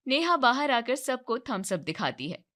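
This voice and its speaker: A woman's voice: on the high side, big pitch swings, normal speed, fairly bright tone. A woman narrating a scripted story.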